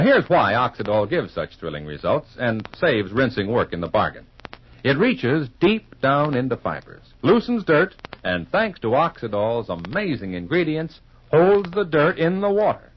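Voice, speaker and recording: Animated, husky 1950s radio announcer man. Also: mid-range voice. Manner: emphatic, folksy, polished salesmanship. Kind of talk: 1950s radio announcing, scripted pitch and narration. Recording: muffled vintage radio recording.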